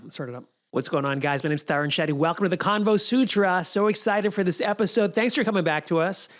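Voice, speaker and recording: A man in his forties hosting a podcast, chatting with panel guests in a quick, easygoing and friendly way. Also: high-pitched, a bit bright. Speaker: podcast host. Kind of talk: casual panel chat.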